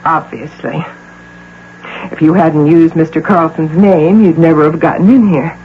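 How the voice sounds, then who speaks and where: A woman's voice: sits low, fairly even intonation, unhurried. An actress playing a proud, curt, middle-aged executive desperate over her missing daughter, in an intense radio drama style. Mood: brusque, weary. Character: imperious, high-powered businesswoman desperate over her missing imagined daughter. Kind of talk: 1980 radio drama acting. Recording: tinny, hissy old radio broadcast.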